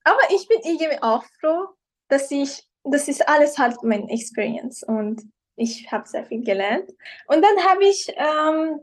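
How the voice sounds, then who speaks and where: A young woman guest tells her story in casual German. Her voice sits a little high, and she often hesitates and reaches for the right word. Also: expressive, measured pace. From Iran. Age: young adult. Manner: hesitant, searching for words in learned German. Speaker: guest, professional model. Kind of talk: casual interview.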